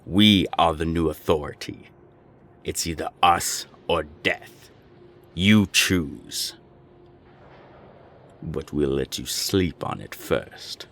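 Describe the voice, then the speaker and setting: A man playing a menacing naga gang boss in an audio roleplay, gravelly and deliberate, with cold, taunting threats. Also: a bit bright, slight background noise, heavily acted. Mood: angry, commanding. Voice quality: very deep, gravelly. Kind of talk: audio roleplay drama acting.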